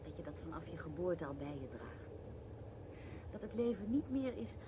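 An actress playing a fatalistic, argumentative teacher in a radio play, reflective and insistent. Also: female voice, low voice, very animated, normal speed, background noise. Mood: musing.

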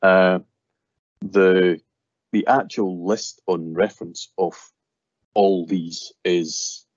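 A man's voice: deep voice, steady tone, very slow, darkish tone, dry recording, highs cut off. A man with a Scottish accent walking through security tooling in a webinar, rambling and repeating his stock phrases. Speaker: security presenter. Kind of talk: technical webinar walkthrough.

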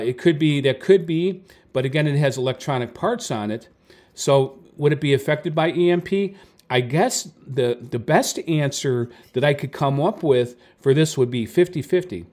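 A man's voice: mid-range voice, darkish tone. Male hobbyist host, mature, unscripted and conversational, tentative delivery that often doubles back to correct itself. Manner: halting, self-correcting, tentative. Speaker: video host, radio hobbyist. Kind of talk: casual product talk, unscripted explaining.

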